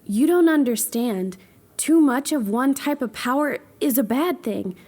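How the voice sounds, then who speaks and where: A woman's voice: high-pitched, lively intonation, unhurried, a little mellow. A woman playing an anxious young scientist who explains things earnestly in a fan audio drama. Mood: worried.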